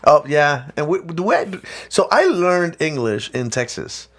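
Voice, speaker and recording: A man's voice: a little high, big pitch swings, normal speed, a bit bright. A man with a light Spanish accent, a native Spanish speaker, co-hosting a casual podcast chat, rambling with many restarts and casual swearing. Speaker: podcast co-host.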